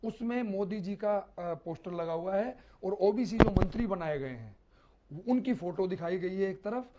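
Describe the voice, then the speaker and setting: A man giving unscripted political commentary as a panel analyst, explaining haltingly with hesitations and repetitions. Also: sits high, lively intonation, very noisy. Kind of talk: unscripted television debate commentary. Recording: slightly dull, lo-fi broadcast audio.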